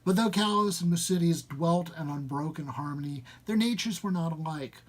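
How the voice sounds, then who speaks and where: A man's voice: fairly high pitch, moderate pace. A man speaking as a live reader, moving between informal introduction and literary story reading.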